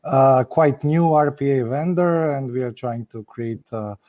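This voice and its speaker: A man introducing his professional work on a panel, with halting delivery. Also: medium pitch, dark tone. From Croatia. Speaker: panelist, tech company representative. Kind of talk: informal professional self-introduction.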